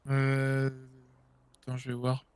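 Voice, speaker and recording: Un homme jouant un collègue de garage décontracté et familier dans un jeu de rôle improvisé. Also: male voice, medium pitch, completely flat delivery, slow, darkish tone, clear, resonant tone, dry recording, natural voice. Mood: casual. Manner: informal, slangy. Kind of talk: casual improvised roleplay chat.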